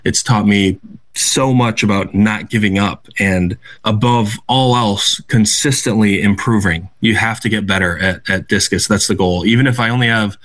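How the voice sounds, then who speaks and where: A man's voice: on the low side, moderate pace, a bit bright. A young American man, a guest athlete, answering interview questions in an earnest, reflective way, often restarting his sentences. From United States. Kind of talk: radio interview.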